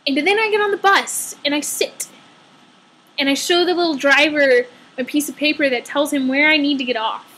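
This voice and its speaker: A young woman with a very high voice chats through a personal story like a vlogger. She tells it casually and with exaggeration, slipping into little quoted asides as she goes. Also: moderate pace, bright, forceful tone.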